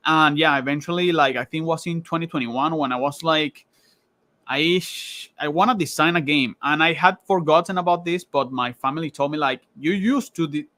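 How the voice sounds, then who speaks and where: A man's voice: fairly high pitch, bright, forceful tone, no echo. Laughing Venezuelan-born man, close and dry. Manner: laughs often, many restarts and fillers. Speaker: guest game designer. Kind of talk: casual podcast interview.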